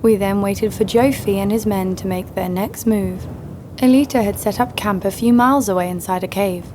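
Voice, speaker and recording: A woman's voice: medium pitch, moderate pace, noisy background, natural voice. A woman playing a sardonic, vengeful bounty hunter who narrates her own story in an audio drama. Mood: wry, tense. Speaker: lead actor and narrator.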